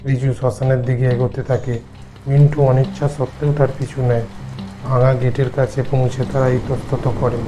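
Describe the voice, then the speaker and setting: A male narrator reading a scripted horror tale. His voice is mid-pitched and bright, with little variation in pitch, over faint music. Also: flat delivery, moderate pace, rough recording.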